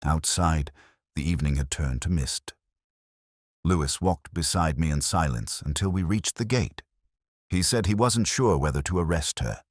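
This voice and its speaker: A man with an extremely low voice narrates a detective drama in a measured, brooding way. His pitch rises and falls expressively from line to line, and the sound is dry and close. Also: animated, no room sound. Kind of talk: first-person scripted detective drama narration.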